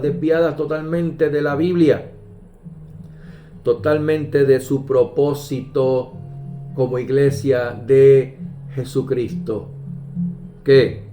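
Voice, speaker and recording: A man preaching a sermon, measured and emphatic, pausing often and quoting scripture. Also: medium pitch. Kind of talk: slow deliberate sermon. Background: soft guitar music.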